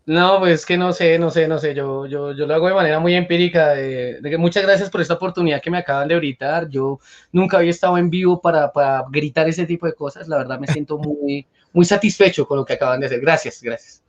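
A man's voice: on the high side, fast, lo-fi sound. A man with a Colombian accent, a musician guest on an online radio show, talking in a nervous, laughing, self-deprecating way. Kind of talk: casual online radio interview.

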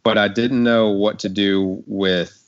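A man's voice: fairly low pitch, fairly even intonation, takes it slowly. A man hosting a casual podcast discussion, talking in a rambling, hesitant way. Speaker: podcast host, writer. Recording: dull, lo-fi audio.

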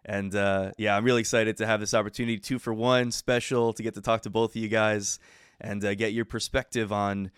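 A man's voice: lowish voice, level tone, brisk, clean tone, no room sound, clean studio sound. A man hosting an interview over a video call, upbeat and enthusiastic. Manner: enthusiastic, warm thanks, frequent fillers. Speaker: podcast host.

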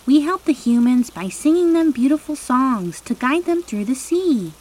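A woman's voice: high-pitched, expressive, moderate pace, dark tone, pitched above the performer's own voice, low-quality recording. A woman voicing a sweet, timid young mermaid in a children's storybook, earnest and girlish. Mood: frightened, earnest. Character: frightened, sweet young mermaid lost from home.

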